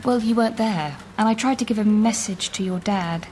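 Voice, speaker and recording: A woman's voice: mid-range voice, fairly quick, darkish tone, close to an everyday voice. An actress playing a chatty woman in her thirties from Leeds and Bristol, who rambles and laughs easily, in a radio drama. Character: chatty woman living in London, warm and talkative. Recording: radio drama with background noise.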